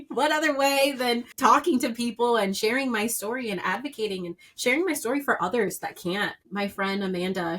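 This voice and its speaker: A woman in her thirties, a podcast guest, talking earnestly and emphatically, her voice climbing high and loud when she stresses a point. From Puerto Rican and Dominican background. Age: 30s. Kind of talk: candid personal interview.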